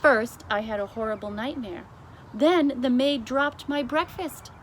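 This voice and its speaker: A woman voicing a brisk, commanding, unflappable queen in a story, speaking in an emphatic, deliberate, imperious way. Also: a little high, slight background noise, pitched above the performer's own voice, close to an everyday voice, rough recording. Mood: brisk, resolute.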